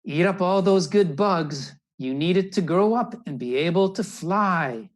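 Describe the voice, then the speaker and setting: A man's voice: high voice, animated, measured pace, voice pushed up. A man voicing an encouraging parent shorebird in a children's storybook, urging the chicks on emphatically.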